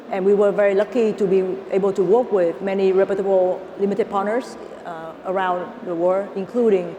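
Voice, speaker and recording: A woman's voice: mid-range voice, warm tone. A woman in her thirties with a Vietnamese accent, giving a prepared stage presentation as a panelist. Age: 30s. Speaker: panelist, venture capitalist. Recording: reverberant hall with clear ambient noise.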